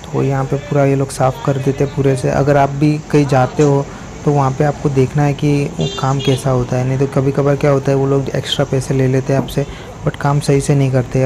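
A young man talks viewers through a car wash in a relaxed, chatty vlog. His pitch stays flat and level as he explains each step. Clear background noise from the outdoor yard sits under his voice. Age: young adult. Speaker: vlogger. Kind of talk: casual vlog narration.

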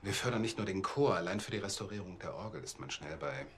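A man's voice: sits low, lively intonation, fast, slight background noise. A man playing a choir treasurer who answers police questions politely and keeps reassuring them.

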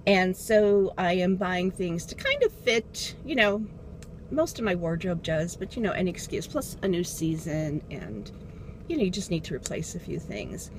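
Middle-aged woman, rambling casual chat, lowish voice, car noise behind. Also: big pitch swings, moderate pace. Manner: rambling, chatty, loses her train of thought. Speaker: beauty vlogger. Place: inside a car while driving. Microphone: dashboard camera. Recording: car engine and road noise.

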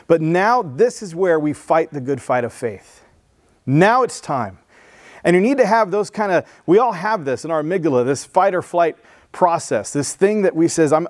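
A man in his thirties, a pastor, preaching to his church in an earnest, conversational way, on a headset mic. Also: a little high. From San Diego, USA. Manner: earnest, conversational preaching with restarts and rising emphasis. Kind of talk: sermon. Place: church stage with blue lighting.

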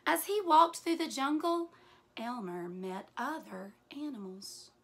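High, bright-voiced woman reading a children's story. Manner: deliberate, animated storytelling with hushed asides. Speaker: teacher reading aloud to children. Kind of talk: expressive picture-book read-aloud. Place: small furnished room indoors.

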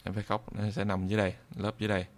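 A man giving an unscripted software tutorial in a hesitant, halting way, often repeating phrases. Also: on the low side, flat delivery, slight background noise. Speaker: video tutorial presenter.

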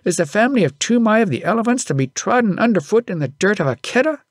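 Casting: man acting middle-aged elephant driver, storybook father, scolding and proud, high swooping delivery, archaic phrasing. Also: very animated, fast talker, no room sound, clean studio sound. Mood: indignant scolding. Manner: indignant, archaic thee-and-thou speech. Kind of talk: audiobook character voice.

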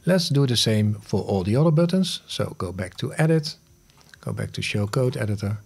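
A man in his fifties narrating a step-by-step software tutorial in short instructional phrases, recorded on a close studio mic. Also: lowish voice, breathy edge. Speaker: host of smart home tutorial videos. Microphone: close studio mic on a boom arm.

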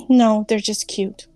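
A woman's voice: fairly high pitch, mellow, dry recording. Chatty woman hosting a call. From Germany. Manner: chatty, giggly, many fillers. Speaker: online class host. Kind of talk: casual group call chat.

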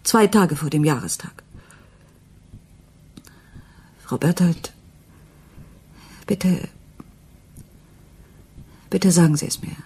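A woman's voice: lowish voice, takes it slowly, pauses often, a little mellow, slightly rough, full character voice. An actress playing an earnest, insistent, troubled wife and mother in a German radio crime play. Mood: pleading. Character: distraught, doubting wife of a jazz musician, a mother.

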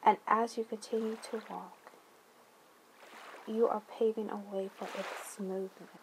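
A woman leading a guided meditation, speaking gently in deliberate phrases with long pauses and soothing affirmations. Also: mid-range voice, little pitch movement, a little hiss or hum, poor audio.

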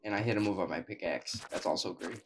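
A man's voice: low voice, brisk, slight background noise. A young man who is sick and sounds weak, giving wry, self-mocking commentary over his gameplay. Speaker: gaming YouTuber.

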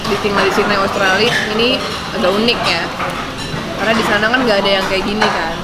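A woman's voice: mid-range voice, steady tone, fast, heavy background noise. A young woman vlogging casually to the camera as she tries food in eateries and at an airport, upbeat and chatty. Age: young adult. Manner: chatty, upbeat, talks to viewers. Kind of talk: casual travel food vlog. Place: indoor food stalls and airport. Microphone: handheld camera. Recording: loud music and crowd behind.

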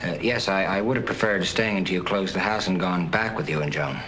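An earnest middle-aged doctor, talking in a measured, slightly hesitant way with a lowish voice. The old film soundtrack carries a steady hiss. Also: male voice, rough recording. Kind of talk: 1940s film acting. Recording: hissy 1940s film soundtrack.

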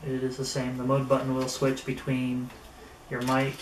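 Even-toned man reviewing gear, roomy sound. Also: mid-range voice, moderate pace. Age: middle-aged. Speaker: product reviewer. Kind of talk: casual unboxing and gear review, talking to camera. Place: small indoor room at a table. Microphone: camera a few metres away. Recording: roomy, distant camera mic with noticeable noise.

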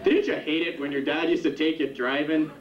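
A young man doing a stand-up comedy set in a club, with a rambling, halting delivery full of restarts. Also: fairly high pitch, fairly even intonation, far from the mic. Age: young adult. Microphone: handheld stage mic on a stand. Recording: roomy, dull 1991 camcorder tape from the audience. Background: audience laughter.

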